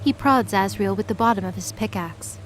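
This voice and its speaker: A woman's voice: mid-range voice, a little fast, slight background noise. A woman giving scripted, dramatic narration as the storyteller of an audio drama.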